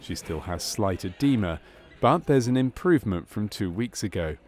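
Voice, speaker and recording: A man reading scripted documentary narration about a medical case in a measured, clinical manner. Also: lowish voice, expressive, a little mellow, soft background music.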